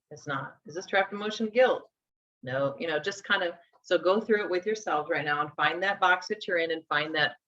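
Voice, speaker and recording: A woman's voice: low-pitched, a bit bright. A woman in her forties teaching a live workshop, conversational as she talks through each step aloud. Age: 40s. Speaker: instructor. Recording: dull lo-fi sound.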